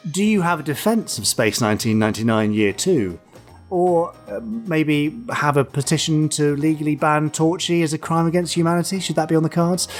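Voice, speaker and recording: A man's voice: fairly high pitch, moderate pace, faint music behind. A man hosting a light-hearted podcast, speaking in a wry, mock-serious and theatrical way. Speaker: podcast host. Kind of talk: light-hearted podcast chat with read-out segments.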